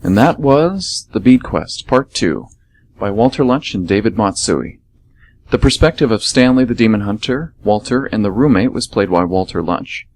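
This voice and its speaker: A man's voice: on the low side, a little mellow, slight background noise. A man performing radio theatre, reading scripted story narration and announcements as a presenter.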